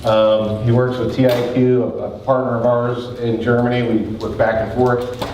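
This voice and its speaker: A man delivers a lively conference talk, fast and joking with a big voice. He sounds distant from the mic, and the hall throws back a strong echo with faint room noise underneath. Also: mid-range voice. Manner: fast-talking, joking, energetic. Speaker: conference presenter. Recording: echoey hall from far off.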